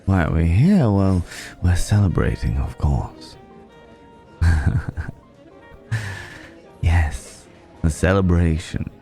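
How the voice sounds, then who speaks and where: Man acting a very deep-voiced, suave vampire. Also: gravelly, mellow, heavily acted. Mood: playful, celebratory. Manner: leisurely, courtly, teasing, with hesitant pauses and hums. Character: suave, flirtatious ageless male vampire, indulgent and teasing. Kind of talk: intimate ASMR roleplay acting.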